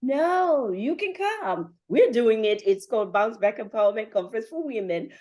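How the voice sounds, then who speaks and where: Woman hosting, low-pitched and encouraging. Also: dark tone. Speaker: podcast host and coach. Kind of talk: motivational interview hosting.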